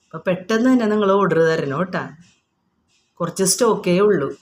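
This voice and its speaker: A woman's voice: on the low side, animated, fairly quick, a little hiss or hum. A woman with a Kerala accent informally showing products item by item, explaining each and repeating prices in an unscripted, repetitive way.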